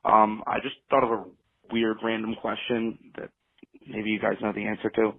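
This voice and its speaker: A man's voice: on the low side, normal speed, gravelly. An American man leaving a casual, rambling, bored-sounding voicemail over a dull phone line. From New Jersey, United States. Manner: bored, deadpan. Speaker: voicemail caller.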